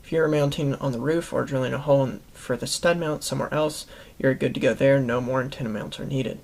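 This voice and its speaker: A man's voice: mid-range voice, steady tone, a little fast, gravelly, a little mellow. A man hosting a how-to video, giving instructional narration to viewers. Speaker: host of a how-to product video series.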